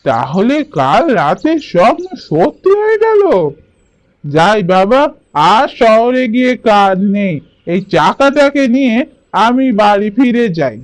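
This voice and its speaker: An actor playing a poor village potter in a cartoon, calling out eagerly and excitedly to his wife. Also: high voice, unhurried, no echo. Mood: amazed. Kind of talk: cartoon voice acting.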